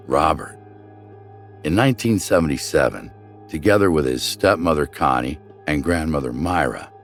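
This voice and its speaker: A man with a low, deep voice narrates a true crime story from a script. His delivery is measured and dramatic, like a documentary storyteller, with music playing under the voice. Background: background music.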